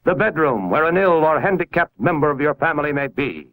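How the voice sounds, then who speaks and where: An American man reading a scripted 1950s educational film narration in a formal, measured, instructive manner, on a vintage film soundtrack. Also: fairly high pitch, lively intonation, a bit bright, low-quality recording.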